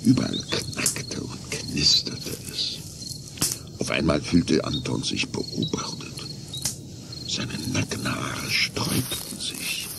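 A man narrating a children's radio play in a suspenseful, measured storytelling manner. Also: low-pitched, gravelly, background noise.

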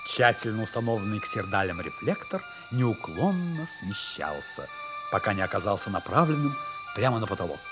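A man's voice: lowish voice, very animated, moderate pace. A man narrating a 1960s radio play in a scripted storytelling style. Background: music.

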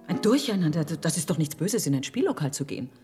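A woman playing a naive, excitable countess in a radio drama, with an anxious edge. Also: deep voice, quick pace. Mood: excited, chattering. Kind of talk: German radio drama acting. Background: music.

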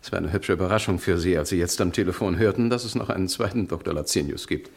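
Villain: fake doctor, low voice, smooth and condescending, radio crime play. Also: male voice, fast, heavily acted. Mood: suave, mocking. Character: male impostor posing as a doctor, suave, mocking villain. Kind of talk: German radio crime drama acting.

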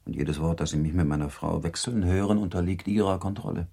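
Gravelly, brooding baron. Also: male voice, deep voice, flat delivery, fast, dark tone, exaggerated voice. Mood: bitter, insistent. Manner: controlled, formal, insistent. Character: stern, proud, brooding baron bound by honour. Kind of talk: German radio drama acting.

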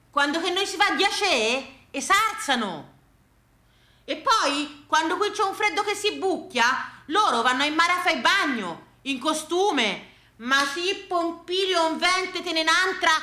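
Rustic Tuscan grandad acted by a woman, excitable, wide-eyed, pitched up, roomy sound. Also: a little slow, exaggerated voice. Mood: excited wonder. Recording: roomy, echoing space.

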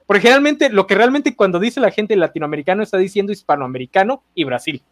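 A man's voice: high voice, expressive, no echo, clean studio sound. An opinionated man, ranting sardonically on a podcast panel. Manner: opinionated, sardonic, quick rants. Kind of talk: casual panel chat.

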